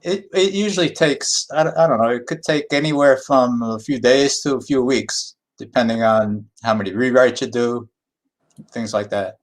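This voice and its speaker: A man with a medium-pitched voice talks casually on an online panel. He is an unassuming playwright who answers with some hesitation. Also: normal speed. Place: online video call.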